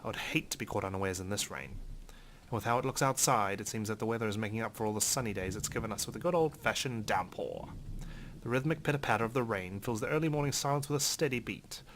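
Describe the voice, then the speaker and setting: A man's voice: fairly low pitch, quick pace, a bit bright, slight background noise. A man reading visual novel text aloud as a let's player, with occasional brief commentary.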